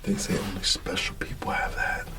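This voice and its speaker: A drowsy man reading a children's story in an ASMR style, halting and drawn out as if fighting off yawns. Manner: drowsy, yawning, drawn-out halting delivery with hums. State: sleepy. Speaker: bedtime storyteller in an ASMR roleplay.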